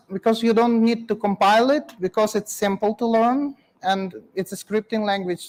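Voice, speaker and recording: This is a man with a Ukrainian accent and a fairly high voice, giving a technical talk. He speaks in short, practical, clipped phrases, like a guest expert answering an audience. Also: poor audio.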